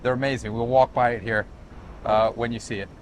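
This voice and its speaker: A man's voice: mid-range voice, level tone, fairly quick, poor audio. A man from Chile giving a casual, emphatic property tour as the developer, often repeating and restarting his phrases. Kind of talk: casual walk-through sales pitch. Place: walking outdoors on a city street and at a building site. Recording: outdoor street noise with traffic.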